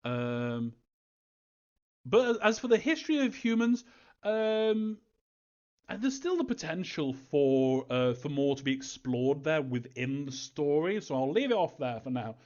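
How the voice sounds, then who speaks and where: A man's voice: on the high side, sing-song, unhurried, darkish tone. A man answering fan questions casually as a game developer, reading them aloud and replying in a hesitant, chuckling, evasive way. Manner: hesitant, chuckling, evasive about story details. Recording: dull, lo-fi audio.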